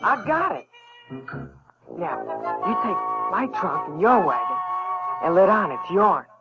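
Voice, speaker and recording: A man's voice: high voice, wildly swooping pitch, measured pace, over loud music, heavily acted. An actor playing a brash Southern American country boy in a radio drama, with colloquial, emphatic speech. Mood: scheming. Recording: dull 1970s audio drama recording.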